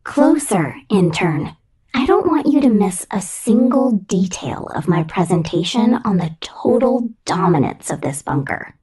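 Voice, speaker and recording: Casting: female performer as menacing female robot corporate supervisor, ASMR roleplay. Heavily breathy and whispery, highly animated intonation, cold contempt, slow theatrical threats. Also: full character voice. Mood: menacing, possessive.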